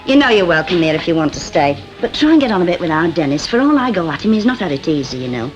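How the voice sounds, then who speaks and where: An actress playing a brassy, weary, sharp-tongued middle-aged working-class mother with a Lancashire accent in a 1960 television soap. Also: on the low side, very animated, fast, lo-fi sound, over music. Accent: Northern English, Lancashire. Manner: blunt, nagging, wry. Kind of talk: 1960s British television soap acting. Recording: old 1960 television soundtrack.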